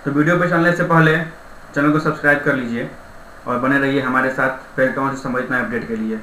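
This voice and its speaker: A man's voice: mid-range voice, steady tone, fast talker, bright, forceful tone. A young man giving an informal update to online viewers as the presenter. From India. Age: young adult. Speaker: YouTube exam-update presenter. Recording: roomy echo with faint background noise.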